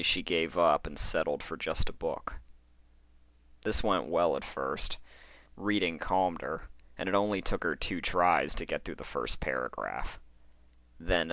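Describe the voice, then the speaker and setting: Deep-voiced man reading a horror story. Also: no echo. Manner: theatrical, expressive. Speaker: fan fiction narrator. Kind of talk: dramatic scripted horror story narration.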